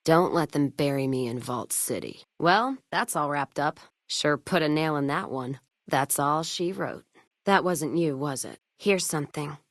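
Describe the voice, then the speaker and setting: A woman's voice: low-pitched, measured pace, no room sound, studio quality. An actress playing a tough, hard-drinking frontier caravan woman in a video game, with an American accent and a folksy, sardonic, foul-mouthed way of talking. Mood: pained, weary. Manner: folksy, sardonic, drawling slang. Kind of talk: video game character voice lines.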